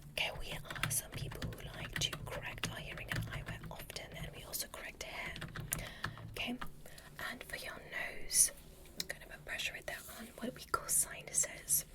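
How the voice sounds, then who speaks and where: A woman voicing a gentle, curious alien doctor in a breathy, soothing whisper for an ASMR medical roleplay. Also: normal speed, lightly acted. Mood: curious, clinical. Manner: soothing, softly instructing.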